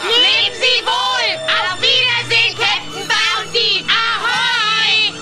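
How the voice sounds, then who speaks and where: A woman's voice: very high-pitched, fairly even intonation, slow pace. A woman voicing an eager, earnest young boy duckling in a cartoon audio play. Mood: cheerful farewell. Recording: loud music and crowd behind. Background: music and crowd noise.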